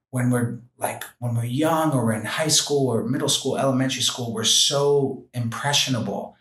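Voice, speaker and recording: A man speaking as a podcast guest, earnest and gentle, talking in a reflective way with many fillers like "you know" and "like". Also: mid-range voice, roomy sound. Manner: gentle, heartfelt. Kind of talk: reflective interview conversation.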